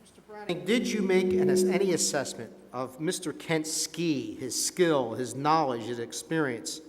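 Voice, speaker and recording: A man's voice: fairly high pitch, measured pace, a little hiss or hum, natural voice. A man playing a courteous, methodical board investigator who puts formal, probing questions in a scripted role-play. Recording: reverberant meeting hall.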